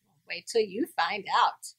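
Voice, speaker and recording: A woman storyteller reading a picture book aloud to young children in a playful, exaggerated manner, pausing to put questions to her listeners. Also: mid-range voice, a bit bright, slightly rough.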